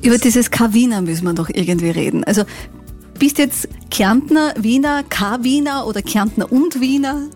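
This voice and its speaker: A woman's voice: fairly high pitch, moderate pace, a little mellow, faint music behind. A woman with an Austrian accent, hosting a conversational interview in a radio studio. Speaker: radio host interviewing a musician.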